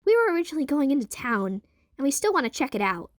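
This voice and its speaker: A woman's voice: high voice, sing-song, fast talker, no echo. A woman playing a practical, dry-witted young woman in an audio drama. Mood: firm, friendly.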